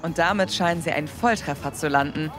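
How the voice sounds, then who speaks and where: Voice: mid-range voice, fast. A news voice-over narrator reading a scripted report. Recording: music bed behind voice.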